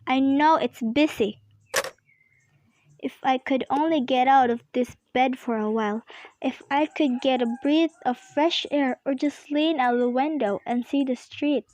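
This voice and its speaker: A woman's voice: high voice, a little slow, darkish tone, muffled recording, slight background noise. A woman playing a nervous, demanding, self-pitying invalid wife in an amateur radio play, fretful and complaining.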